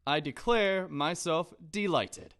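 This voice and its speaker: Male actor as smug police inspector, highish pitch, bright, confident, eager, formal, audio drama. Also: slow. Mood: gleeful, confident. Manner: self-satisfied, formal, declarative.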